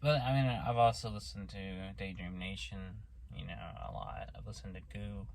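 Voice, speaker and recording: A hoarse man with an Oklahoma accent, talking casually to his camera, rambling with lots of fillers and restarts. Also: fairly low pitch, normal speed, a little mellow, slight background noise. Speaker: vlogger.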